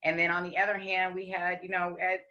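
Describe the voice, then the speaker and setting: A woman with a deep, raspy voice, talking candidly as a podcast guest with frequent ums and restarts. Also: monotone, a little fast, lo-fi sound. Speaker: podcast guest, rapper and singer. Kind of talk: casual interview chat.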